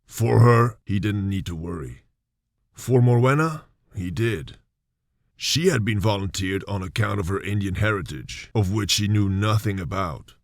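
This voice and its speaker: A man narrating a radio drama in a measured, expressive storytelling manner. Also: lowish voice, no echo, clean studio sound.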